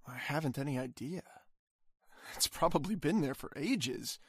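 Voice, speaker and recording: A man playing a skeptical husband in an old-time radio horror drama, halting and dismissive. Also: fairly high pitch, lively intonation, breathy edge, dry recording, studio quality. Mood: weary, dismissive. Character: skeptical, dismissive husband trying to stay calm.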